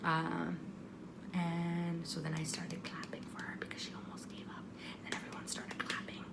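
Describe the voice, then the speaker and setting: A woman's voice: lowish voice, monotone, moderate pace, creaky, whispered. A woman telling a story in a casual, chatty vlog style, animatedly acting out what people said. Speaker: vlogger. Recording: noticeable background noise.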